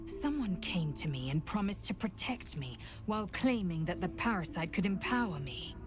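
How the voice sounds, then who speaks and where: A woman playing a stern, proud alien warrior woman in a video game, clipped, grave and formal with a hushed, breathy edge. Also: lowish voice, very animated, normal speed, music in the background. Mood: grave, suspicious. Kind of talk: video game character dialogue.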